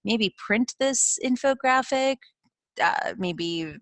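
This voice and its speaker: A woman's voice: fairly high pitch, lively intonation, slow pace, fairly bright tone, no room sound. A middle-aged woman from Ukraine, a native Russian speaker, giving an earnest, informal lesson to camera, often restarting mid-phrase and putting questions to the viewer. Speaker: CEO and founder of a positive psychology school. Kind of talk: informal educational talk to camera.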